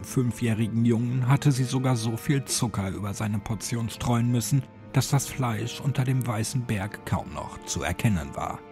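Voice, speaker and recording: Guy narrating a creepy audiobook, very expressive pitch, soft music underneath. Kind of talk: scripted horror story narration.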